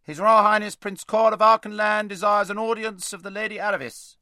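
A man voicing a formal male herald in a storybook reading, proclaiming loudly and ceremoniously. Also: high voice, flat delivery, a little fast, no room sound, studio quality, pitched above the performer's own voice. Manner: loud, ceremonious. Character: formal male royal herald making announcements.

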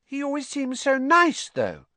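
Man putting on a high, timid schoolgirl. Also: huge pitch swings, unhurried, sharp tone, no echo, exaggerated voice. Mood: uncertain. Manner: hesitant, questioning. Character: timid, uncertain, kind schoolgirl. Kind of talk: audiobook character acting.

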